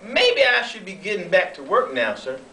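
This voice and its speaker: A man's voice: high voice, very animated, moderate pace, pushed and bright, a little hiss or hum, full character voice. A man playing an officious, self-important railroad underling in an amateur read-through of a period sketch, speaking formally. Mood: obedient. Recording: echoey room, distant mic.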